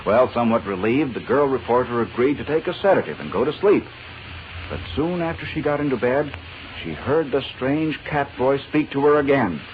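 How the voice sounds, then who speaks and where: Animated male vintage radio narrator. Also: mid-range voice, moderate pace. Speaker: announcer and narrator. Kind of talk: dramatic 1940s radio scripted narration. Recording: muffled old radio recording, faint hiss.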